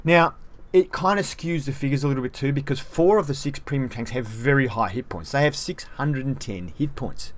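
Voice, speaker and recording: A male video game reviewer talks casually through a tank's numbers, chatty and a little self-deprecating. His voice sits in an ordinary middle pitch for a man. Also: moderate pace.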